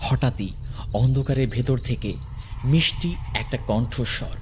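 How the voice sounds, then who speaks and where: A man's voice: mid-range voice, measured pace, a little hiss or hum. A man narrating a horror story in a dramatic, scripted storytelling style.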